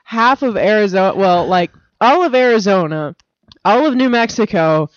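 A woman's voice: mid-range voice, lively intonation, normal speed, no echo. Matter-of-fact woman co-host, muffled dry sound. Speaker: podcast co-host. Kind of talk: casual banter and reading odd news items.

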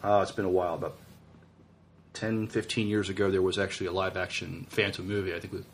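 Male co-host, deep register, tentative and stop-start casual chat, slight noise behind. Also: flat delivery, quick pace. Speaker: podcast co-host. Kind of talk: casual sci-fi discussion.

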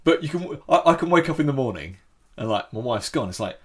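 A man's voice: mid-range voice, sing-song, fast. A man telling a story in casual chat, with frequent restarts.

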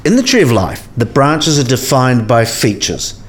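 Male actor as learned professor, medium pitch, patient, didactic, precise, radio play dialogue. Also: sing-song, normal speed, close to an everyday voice. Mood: instructive, probing. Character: learned professor patiently explaining to a younger colleague.

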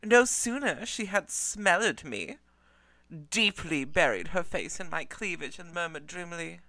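A woman in a casual group chat who reads a profile aloud, laughs loudly and swears. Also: on the low side, expressive, a little slow, dry recording. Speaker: podcast co-host reading aloud.

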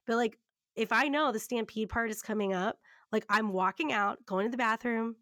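A woman's voice: on the high side, moderate pace, fairly bright tone, dry recording, clean studio sound. A woman hosting a podcast in casual conversation, talking in a candid, emphatic, chatty way with free swearing. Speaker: podcast host.

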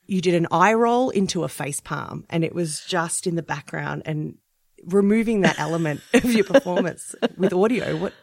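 A woman with a very low, gravelly voice hosts a podcast and puts curious, admiring questions to her guests. The recording is dry and close. She keeps the tone of a casual chat. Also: normal speed, dry recording. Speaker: podcast host.

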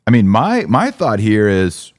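A man's voice: on the low side, huge pitch swings, measured pace. A man hosting a conversational religious commentary podcast, often posing incredulous rhetorical questions. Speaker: podcast host.

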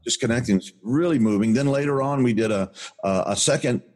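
An older man with a booming voice, co-hosting a podcast and telling a story in an enthusiastic, rambling way with many restarts. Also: brisk, darkish tone. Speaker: podcast co-host. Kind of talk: casual rambling chat.

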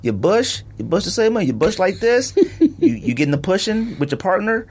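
A man hosting a podcast in casual, joking banter, animated and slangy, often repeating himself for emphasis. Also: high voice, moderate pace, breathy edge. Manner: animated, jokey, slangy and repetitive. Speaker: podcast host. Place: podcast studio, indoors.

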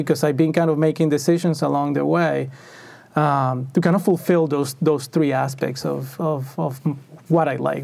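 Man, from Venezuela, interview guest, gravelly voice, slightly high pitch, thoughtful, restarts sentences. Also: a little mellow. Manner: thoughtful, many restarts and fillers. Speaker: packaging engineer, interview guest. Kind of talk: conversational interview answers.

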